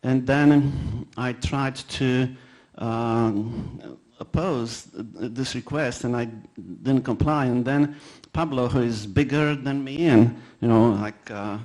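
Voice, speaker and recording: A man with a Polish accent, a native Polish speaker, giving a conference talk in a halting way, with many fillers and restarts and self-deprecating anecdotes. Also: medium pitch, steady tone, darkish tone. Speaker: conference presenter.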